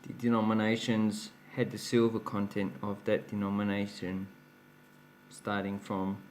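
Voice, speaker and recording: Chatty low-voiced man. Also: monotone, a little slow, a little mellow, slight background noise. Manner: chatty, explains while handling coins, laughs at his own slips. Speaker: coin collecting channel host. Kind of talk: casual show-and-tell vlog.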